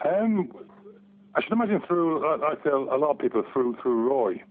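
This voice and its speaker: A man's voice: fairly high pitch, big pitch swings, normal speed, phone-quality sound. Casting: man, forties, hesitant phone-in guest, heavy line filtering. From Barnsley, England. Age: 40s. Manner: hesitant, many restarts and ums. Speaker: guest fan. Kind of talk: casual phone interview. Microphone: telephone.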